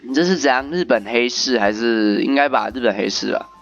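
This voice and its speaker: A man's voice: mid-range voice, fairly quick, vocal fry, muffled recording. A man giving casual game commentary and reading story text aloud. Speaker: gaming video host. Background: game music.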